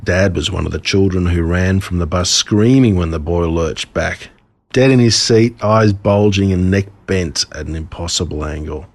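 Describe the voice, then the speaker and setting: An Australian man reading his own humorous stories as scripted narration, in an expressive, wry way. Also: sits low, husky.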